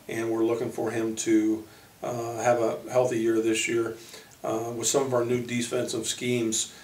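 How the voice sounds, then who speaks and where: A man who coaches college basketball, giving informal player profiles in a halting way, pausing mid-sentence. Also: medium pitch, a little mellow. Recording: roomy echo, distant microphone.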